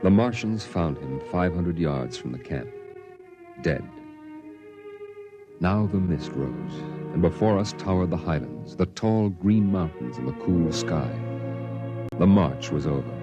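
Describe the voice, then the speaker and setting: A man narrating a 1950s radio drama in a grave, measured storytelling voice. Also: deep voice, lively intonation, music in the background.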